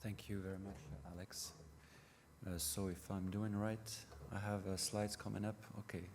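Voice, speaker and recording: A middle-aged man with a French accent, a non-native English speaker, giving a conference presentation in a halting way with many fillers and restarts. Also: on the low side, little pitch movement. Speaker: panel speaker. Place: conference hall. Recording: reverberant hall with faint noise.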